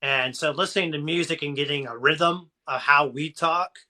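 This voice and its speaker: Bright-voiced encouraging Ohio man. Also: fairly high pitch, normal speed. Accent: southern Ohio American. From Ohio, USA. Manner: rambling, encouraging, folksy. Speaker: motivational live-stream host. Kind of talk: casual live chat.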